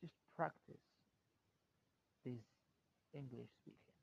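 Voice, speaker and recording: A man's voice: slow pace, gravelly, slightly rough. A man from Morocco, a Darija speaker, talking in an unscripted solo podcast, hesitant and waffling as he searches for words. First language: Moroccan Arabic (Darija). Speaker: podcast host. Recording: dull, muffled recording with faint rumble.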